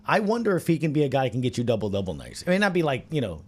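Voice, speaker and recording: Male sports podcast host, medium pitch, loose casual chat. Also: wildly swooping pitch, very fast, darkish tone. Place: studio roundtable.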